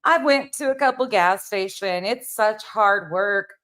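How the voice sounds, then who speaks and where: A woman's voice: medium pitch, measured pace, fairly bright tone. A woman hosting reaction commentary, sarcastic and profane, with theatrical, mocking delivery. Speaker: commentary channel host.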